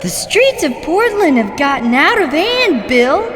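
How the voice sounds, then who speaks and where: A talking dead cat with an extremely high, slow, swooping voice. The eager sidekick is cheerful and melodramatic in this comic radio play, echoing in long reverb over noisy ambience. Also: female voice, big pitch swings. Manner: sing-song, cheerful, melodramatic.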